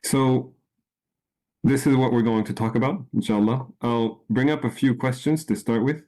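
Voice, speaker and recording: A man from France giving an informal talk to a small group, unhurried, with frequent ums and restarts. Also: medium pitch, steady tone, dark tone, rough recording. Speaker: presenter. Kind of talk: informal presentation.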